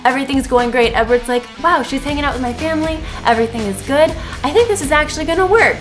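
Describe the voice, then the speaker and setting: A woman's voice: high-pitched, lively intonation, fast talker, over music. A woman co-hosting a podcast, giving a casual comedic book recap and acting out the characters in exaggerated, jokey voices. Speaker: podcast co-host.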